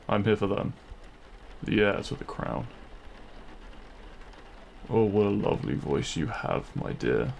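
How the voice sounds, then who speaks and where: Man playing a slow, gravelly, possessive demon king. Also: fairly low pitch, a little hiss or hum, heavily acted. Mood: teasing, possessive. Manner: theatrical, silky, menacing yet courtly. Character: possessive, smug demon king wooing a kidnapped royal. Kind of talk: scripted audio roleplay, addressed to the listener.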